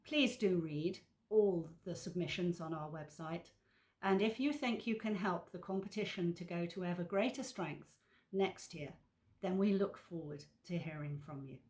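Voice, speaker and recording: A woman with a slightly low voice presents an online awards event. She delivers a scripted speech of thanks in the role of presenter. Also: normal speed, a little mellow.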